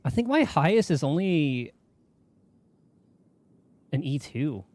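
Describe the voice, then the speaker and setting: A man's voice: a little high, very animated, slow pace, a little mellow, dry recording. A man chatting casually on a game livestream, rambling and thinking aloud as he goes. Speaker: game streamer. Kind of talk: casual livestream chat while gaming.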